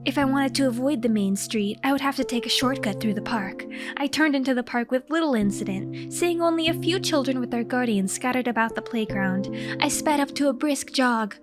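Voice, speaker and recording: Woman, high pitch, earnest theatrical narration, first-person comic diary, background music. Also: a little fast. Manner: theatrical, mock-serious, earnest. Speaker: storyteller, content creator.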